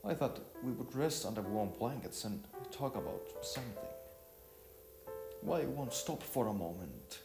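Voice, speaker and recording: A man's voice: mid-range voice, lively intonation, moderate pace, vocal fry, voice pushed up. A man voicing a caring, teasing woman who tries to sound wise and is easily exasperated, in an audio story. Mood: frustrated. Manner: trying to sound collected and wise. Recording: roomy echo, faint music behind.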